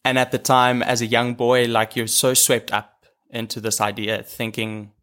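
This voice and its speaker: A man's voice: medium pitch, level tone, normal speed, fairly bright tone, smooth. A young South African man, a native Afrikaans speaker, talking in a reflective, hesitant way as a guest on a video call. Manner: reflective, many ums and restarts. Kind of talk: casual chat.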